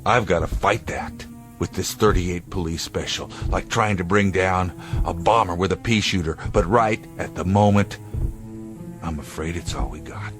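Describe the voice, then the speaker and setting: An actor playing a tough, level-headed state trooper in a 1960s TV drama, speaking in terse commands. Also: male voice, deep voice, moderate pace, lightly acted. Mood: grim, resolute. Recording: vintage TV soundtrack with music.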